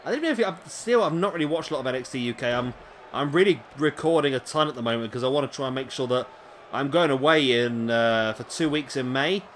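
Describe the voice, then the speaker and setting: A man's voice: mid-range voice, animated, fast, fairly bright tone, slight background noise. Chatty man commentating a video game. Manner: chatty, rambling asides to viewers. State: voice going hoarse. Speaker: gaming commentator. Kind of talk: casual play-by-play commentary. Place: home room, late at night.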